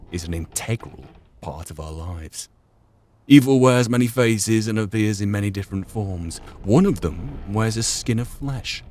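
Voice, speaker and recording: A man giving measured, reflective commentary and reading aloud during a video game playthrough. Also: low-pitched, darkish tone, slight background noise. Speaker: gaming channel player.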